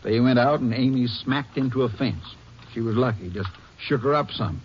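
A man's voice: medium pitch, normal speed, a little hiss or hum, lightly acted. A man playing a folksy, fatherly, skeptical small-town Texas sheriff, reasoning soothingly in 1950s radio drama style. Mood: explaining. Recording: dull old 1950s radio recording.

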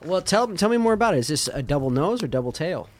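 A man chatting as a guest in an easygoing, friendly way, his voice a little hoarse from a recent cold. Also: fairly high pitch, big pitch swings. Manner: easygoing, friendly, quick to laugh. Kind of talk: casual chat.

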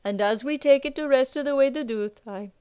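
A woman doing a gruff, blunt sea captain. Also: sits high, fairly quick, dark tone, dry recording, clean studio sound, pitched above the performer's own voice, close to an everyday voice. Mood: commanding. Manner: rough sailor talk, tags lines with "aye".

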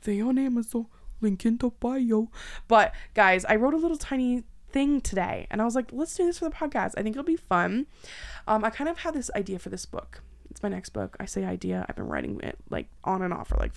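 Animated, chatty woman podcast host. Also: a little high, brisk, creaky. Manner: animated, self-deprecating, salty-tongued. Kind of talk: casual chatty podcast banter.

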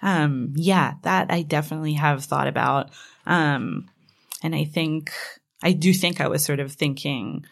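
A woman whose first language is Hebrew speaks in a low, gravelly voice. She talks in a thoughtful, hesitant way, full of fillers and small laughs. She is jet lagged. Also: unhurried. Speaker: author guest. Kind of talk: casual interview conversation.

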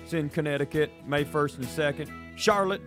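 Music behind, guy w high voice casually plugging tour dates for a podcast. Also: moderate pace. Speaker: podcast announcer. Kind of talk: casual show-date announcement. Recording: music laid under the voice.

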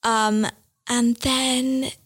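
A woman's voice: on the high side, little pitch movement, slightly rough. A young woman talking earnestly as a guest author in a casual chat, with words running on and plenty of "like" and "you know". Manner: earnest, fast-flowing, lots of "like" and "you know".

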